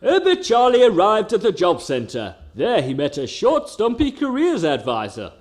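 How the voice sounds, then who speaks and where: A man's voice: sits high, wildly swooping pitch, moderate pace, darkish tone, soft background music. A man delivering scripted comic narration in a mock-serious storytelling manner. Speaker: narrator of a comedy show.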